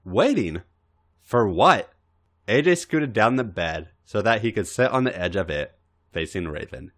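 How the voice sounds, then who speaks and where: Male narrator, lowish voice, hugely varied intonation, dramatized podcast story reading. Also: very animated, normal speed, fairly bright tone. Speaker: podcast host reading fan fiction.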